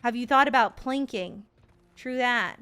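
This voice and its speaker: A woman in her thirties chats casually as she streams a game. Her voice is on the high side, her talk playful, slangy and sweary, with faint music behind. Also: measured pace, pushed and bright, clear, resonant tone. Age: 30s. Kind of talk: casual gaming stream commentary.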